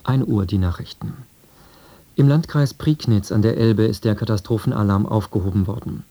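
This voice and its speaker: A male radio newsreader delivers a scripted bulletin in a standard German accent. The voice sits fairly low and is very mellow in tone. His delivery is formal and factual. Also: normal speed.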